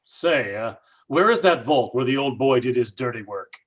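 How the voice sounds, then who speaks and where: A man's voice: medium pitch, animated, moderate pace, fairly bright tone. An actor playing a brash, skeptical man with a blunt, folksy, indignant manner, in old-time radio drama style. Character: brash, skeptical, money-minded house buyer.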